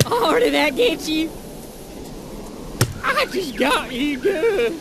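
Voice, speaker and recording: A woman's voice: high voice, very animated, slow pace, very noisy, heavily acted. A woman voicing a taunting, mock-sweet, folksy brawler enemy in an audio game styled on old-time radio drama. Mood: gleeful gloating. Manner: taunting, drawling, folksy slang.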